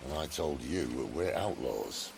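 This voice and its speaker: Game role: laid-back roguish outlaw boss, rock-bottom gravelly voice, dry teasing delivery. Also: male voice, lively intonation, measured pace, noisy background, exaggerated voice. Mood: dry banter. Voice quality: deep, gravelly. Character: wry, roguish middle-aged male outlaw leader. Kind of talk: video game character dialogue.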